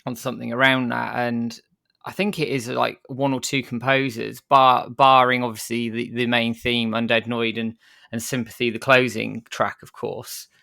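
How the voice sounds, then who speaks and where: A croaky man with a gravelly, bright-edged voice chats eagerly as a podcast co-host. He keeps restarting his words. The sound is dry and close. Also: mid-range voice, flat delivery, moderate pace, dry recording. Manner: enthusiastic, stammering restarts. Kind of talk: casual chat.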